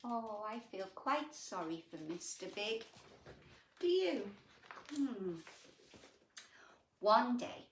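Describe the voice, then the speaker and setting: A woman storyteller reads a children's picture book at an unhurried pace, her pitch swinging expressively. She pauses for drama, stretches key words and adds gentle, sympathetic asides to the child listening. The sound is roomy, as if the microphone sits a little way off. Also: a little hiss or hum. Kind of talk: animated bedtime story reading with chatty asides to young listeners. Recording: roomy echo, distant microphone.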